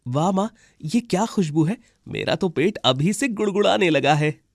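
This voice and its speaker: A man voicing a young male bird in a children's animal cartoon, with an animated, exclaiming delivery. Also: high voice, normal speed, no echo, studio quality. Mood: excited.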